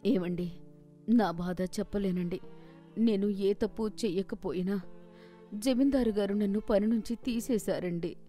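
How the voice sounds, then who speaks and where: A woman voicing a humble, earnest old village cook in an animated moral story, speaking deferentially. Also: medium pitch, measured pace, pauses often, soft background music, lightly acted. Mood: tearful.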